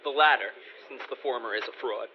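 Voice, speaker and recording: A man's voice: medium pitch, a little fast, natural voice. A man playing a court jester who answers his king in wry, teasing riddles in a radio drama. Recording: dull lo-fi audio with faint hiss.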